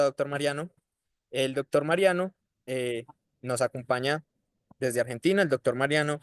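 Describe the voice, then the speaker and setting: A man hosts an online diploma course and introduces a guest speaker formally and courteously. His voice sits a little high, and the sound is dry and close. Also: dry recording. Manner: formal, courteous, switches to English.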